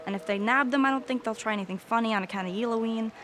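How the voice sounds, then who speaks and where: A woman's voice: on the high side, rapid-fire, a bit bright, a little hiss or hum, natural voice. A woman acts a tough young fantasy queen who talks like a street kid. She is blunt and sardonic, with slangy, cursing delivery over faint background ambience. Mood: sardonic, brisk. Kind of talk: fantasy audio drama acting.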